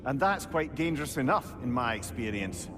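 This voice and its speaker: A man's voice: mid-range voice, lively intonation, moderate pace, close to an everyday voice. A man playing a clever, talkative old advisor in a video game, with a Scottish accent and a wry, droll manner. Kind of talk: cinematic video game acting.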